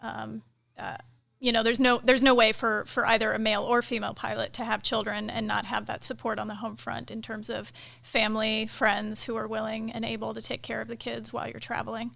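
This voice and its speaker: A middle-aged woman, a military pilot, talking through her career as a guest in a casual interview, relaxed and thoughtful, with many fillers. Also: on the high side, a little fast, vocal fry. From United States. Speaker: KC-135 pilot and director of inspections, guest.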